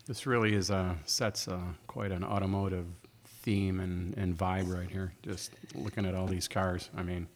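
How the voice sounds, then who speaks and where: Low-pitched mature man in a reverberant room. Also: flat delivery, moderate pace, hardly any pauses, a little hiss or hum. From born in Czechoslovakia, moved to America at six. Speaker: podcast guest. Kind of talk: casual chat. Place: club podcast studio with car theme.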